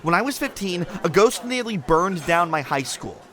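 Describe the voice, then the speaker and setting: A young rookie ensign played by an actor in a sci-fi audio drama, sarcastic and a little defensive. The voice sits at a middling pitch and moves up and down freely in casual, animated talk. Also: normal speed, natural voice.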